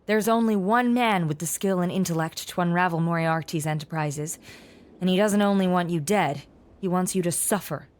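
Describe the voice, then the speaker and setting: An actress playing a shrewd, cool, unapologetic criminal in an audio drama, pointed and self-assured. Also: female voice, on the low side, moderate pace, darkish tone, lightly acted. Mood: cool, probing.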